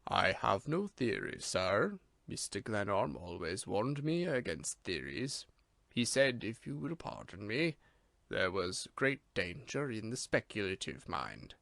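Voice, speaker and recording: A man reading an audiobook, voicing a solemn, deferential male servant with a slight Irish accent, low and subdued. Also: very animated, unhurried, vocal fry, no echo, full character voice. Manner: formal, quiet, grave.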